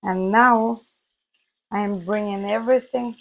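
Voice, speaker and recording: A woman hosting a cooking video from her home kitchen, her voice hoarse, chatting viewers through each step. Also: medium pitch, steady tone, slow, lo-fi sound. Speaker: cooking channel host. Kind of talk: casual cooking demonstration.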